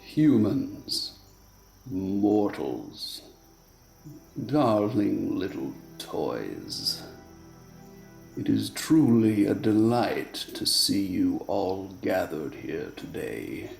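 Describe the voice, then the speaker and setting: Haughty evil elf king for a radio play, super creaky, slow, roomy sound. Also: low voice, sing-song, a little mellow, faint music behind, exaggerated voice. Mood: haughty, condescending. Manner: theatrical, drawling disdain. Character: haughty, sinister male fae king, grandly condescending.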